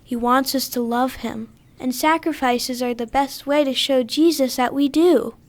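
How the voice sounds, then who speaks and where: A woman acting a devout young girl in an audio drama. The voice is high, gentle and earnest, with a pious, tender way of explaining things. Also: measured pace.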